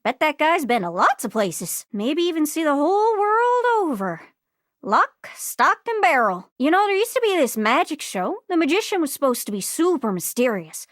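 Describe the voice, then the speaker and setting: A woman voicing an excitable, scatterbrained globe character in a video game, with a folksy Newfoundland accent and a bubbly, exclamatory manner. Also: high-pitched, normal speed, dry recording, clean studio sound. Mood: chatty, thoughtful. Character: excitable, scatterbrained living globe longing to travel.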